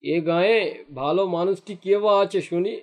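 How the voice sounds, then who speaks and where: A man's voice: high-pitched, moderate pace, darkish tone. A man voicing a jealous, greedy fisherman in a cartoon, grumbling and indignant in his delivery. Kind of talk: cartoon dialogue acting.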